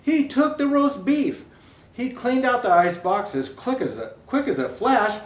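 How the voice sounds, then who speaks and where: High-pitched, slow male storyteller, roomy sound. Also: big pitch swings. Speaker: storyteller in Santa Claus persona. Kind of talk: rhyming children's storybook reading. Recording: roomy echo, distant microphone.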